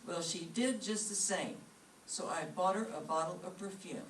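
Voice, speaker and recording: A woman's voice: fairly low pitch, normal speed, natural voice. A woman playing a nagging, exasperated wife in an old-time radio comedy staged live. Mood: defensive. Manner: scolding, exasperated. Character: nagging, put-upon wife of a bickering couple. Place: live stage performance before an audience. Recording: roomy, distant mic, faint noise.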